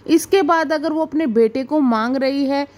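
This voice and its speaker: A woman with a clearly high-pitched voice speaks as the host of a reaction video. She delivers informal gossip commentary straight to her viewers, explaining point by point in an explanatory, questioning manner. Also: moderate pace, darkish tone.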